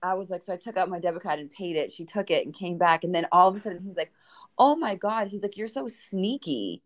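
Animated Boston-accented woman, lowish voice. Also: fast talker. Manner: animated storyteller who acts out quoted dialogue. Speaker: guest author. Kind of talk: podcast conversation, dating anecdotes.

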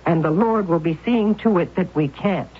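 An actress playing Aunt Tim, an anxious, God-fearing aunt who pleads and frets over a risky plan. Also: female voice, lowish voice, moderate pace, breathy edge. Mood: pleading. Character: fretful, pious older aunt, anxious and doubtful. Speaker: supporting character. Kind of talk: 1970s radio drama acting. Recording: muffled old radio broadcast with hiss.

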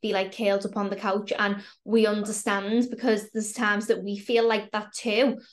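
A woman, a fitness coach co-hosting a podcast, explaining things in a steady, reassuring way. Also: medium pitch, normal speed. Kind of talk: casual podcast chat.

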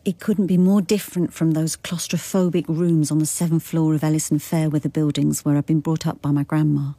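Low, husky English woman detective. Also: little pitch movement, brisk, mellow. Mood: reflective, firm. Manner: reflective, plain-spoken. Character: frank, resolute working-class-raised female detective inspector. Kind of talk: radio drama, intimate first-person monologue and dialogue.

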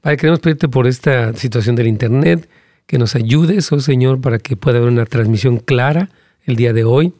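A male pastor preaching and praying during a live broadcast. He prays in fervent, rhythmic lines with emphatic repetitions, and his pitch climbs as he argues a point. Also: no room sound, clean studio sound. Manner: animated, rising pitch when arguing a point. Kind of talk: live-streamed sermon and prayer with Q&A.

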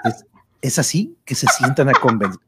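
A man narrating an amateur radio drama from a script, in a somewhat halting reading. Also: medium pitch, wildly swooping pitch, noisy background.

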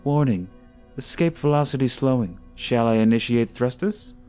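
A man voicing a starship's onboard computer that recites clipped, flat warnings, in a sci-fi audiobook. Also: mid-range voice, normal speed, soft background music, natural voice.

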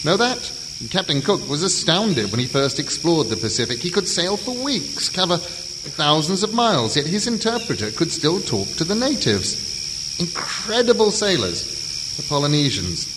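Earnest scholarly storyteller, very echoey. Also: male voice, on the high side, big pitch swings. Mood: earnest, confiding. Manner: halting, emphatic storyteller with rhetorical questions. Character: earnest, scholarly man named Rob expounding ancient island history. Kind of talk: audio drama acting. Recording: long reverb, loud ambient noise.

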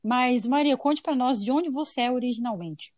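A woman's voice: sits high, normal speed, darkish tone, no room sound, studio quality. Chatty Brazilian woman podcast host. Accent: mixed Brazilian Portuguese. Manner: chatty, laughing, playful asides. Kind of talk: casual chat.

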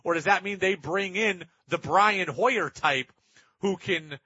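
A man's voice: high-pitched, takes it slowly, bright, forceful tone, slightly rough, no room sound, dull audio. A man hosting sports talk radio, giving opinionated commentary in an emphatic way and repeating points for effect.

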